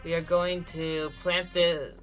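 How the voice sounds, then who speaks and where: Bright-voiced man explaining a speedrun. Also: a little high, flat delivery, slow, dull audio, faint music behind. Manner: explanatory, frequent self-corrections and restarts. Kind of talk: live speedrun commentary.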